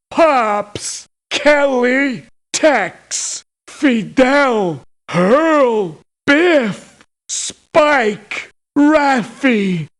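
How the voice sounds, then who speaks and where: Video game mercenary, childlike female, touchy, extremely slow, halting, lively pitch. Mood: plain, curt. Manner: simple, halting short phrases. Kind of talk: video game character voice lines.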